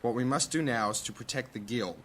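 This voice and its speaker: A man playing a blunt, hot-tempered mage councillor in a fantasy audio drama, forceful and impatient in his delivery. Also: mid-range voice, brisk, lightly acted.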